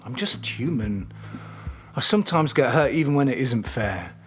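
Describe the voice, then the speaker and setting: An actor playing an insecure boyfriend in an audio play, earnest, pleading and prone to over-explaining himself. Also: male voice, mid-range voice, lively intonation, normal speed, breathy edge. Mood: apologetic. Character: insecure, apologetic, self-justifying boyfriend, eager to please. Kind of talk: audio play dialogue and monologue.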